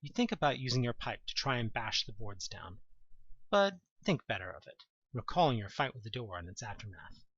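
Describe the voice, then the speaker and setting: A man reading scripted second-person audio drama narration in a deliberate, dramatic storytelling manner. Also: medium pitch, very animated, a bit bright, breathy edge, dry recording, dull audio.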